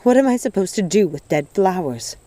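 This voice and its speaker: A woman's voice: mid-range voice, lively intonation, fairly quick, dark tone, no room sound, lightly acted. A woman voicing a desperate, grieving young witch in a storybook, pleading with emotion. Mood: horrified, anxious.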